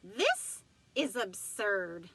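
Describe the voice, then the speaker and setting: A woman's voice: medium pitch, wildly swooping pitch, drawn-out words, gravelly, bright, forceful tone, slight background noise, exaggerated voice. A woman voicing a stern, disapproving female neighbor in a storybook, curt and emphatic.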